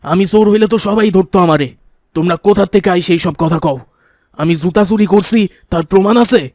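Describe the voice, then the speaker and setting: A man's voice: sits high, brisk, darkish tone, dry recording, studio quality, lightly acted. Man acting a rustic old Bangladeshi man, plaintive. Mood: defensive, indignant. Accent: colloquial Bangladeshi Bengali. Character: poor old man, shoe thief in pious dress, defensive then pleading. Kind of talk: cartoon voice acting.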